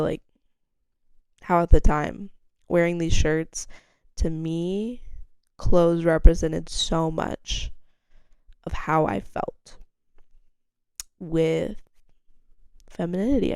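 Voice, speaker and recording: A young woman chats on her own podcast, rambling, giggling and swearing freely. Her voice is gravelly and mellow. The sound is dry and close. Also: dry recording. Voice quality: gravelly low-toned voice. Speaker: podcast host. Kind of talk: casual solo chat.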